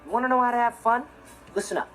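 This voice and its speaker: A man's voice: extremely high pitch, quick pace, music in the background. A young man with an American accent playing a cool, carefree, mischievous teenage brother in a sitcom, talking casually to camera. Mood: breezy. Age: teenage to young adult. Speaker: sitcom lead. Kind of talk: scripted sitcom monologue to camera.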